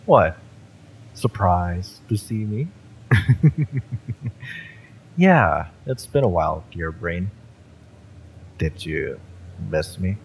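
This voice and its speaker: A man voicing a cocky, fiery mercenary in an audio roleplay, with a smirking, taunting, drawn-out delivery. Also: sits low, lively intonation, slight background noise, full character voice, rough recording. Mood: teasing, smug. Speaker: voice actor in romance audio roleplay. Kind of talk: acted audio roleplay monologue.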